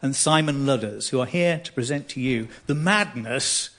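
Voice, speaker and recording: A man's voice: medium pitch, moderate pace, a bit bright. A man presenting a live radio drama evening to a theatre audience, reading a scripted introduction in a wry, arch manner. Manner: wry, arch delivery with dry jokes.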